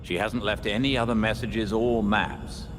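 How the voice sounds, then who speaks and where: An actor voicing a calm, measured male hero. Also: lowish voice, lightly acted. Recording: cutscene with reverb and noise.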